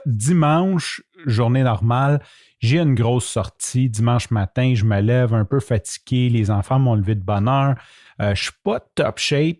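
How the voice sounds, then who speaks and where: A man's voice: mid-range voice, a little mellow, no echo, studio quality. A man with a Québécois French accent, hosting a podcast and telling a personal story in a chatty, self-mocking way, often laughing as he talks. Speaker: podcast host. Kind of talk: casual solo storytelling.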